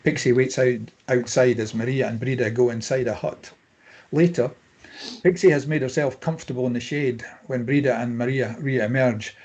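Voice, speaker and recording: A man with a husky voice reading scene headings and stage directions as scripted narration at a table read. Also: medium pitch, moderate pace, a little mellow, muffled recording.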